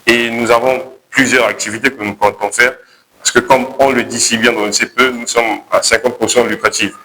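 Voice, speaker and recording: A young man with a Congolese accent pitching his project to a jury, hesitating and correcting himself as he goes. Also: little pitch movement, a little fast, bright, forceful tone, a little hiss or hum, low-quality recording. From Republic of the Congo. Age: young adult. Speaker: contest candidate pitching a project.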